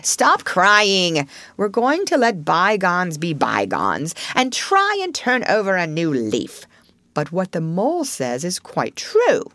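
A woman voicing a stern, dignified, fatherly old male badger in a storybook, authoritative and deliberate. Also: mid-range voice, a bit bright, dry recording, voice pushed up, full character voice.

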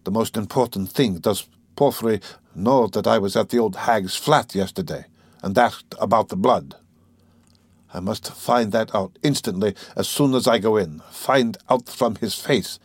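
A man's voice: lowish voice, unhurried, slightly rough, no echo. A man playing an irritable, scheming young man, sardonic and brooding, in an audiobook of a classic novel. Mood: anxious, brooding. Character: irritable, scheming young former law student, mocking and anxious.

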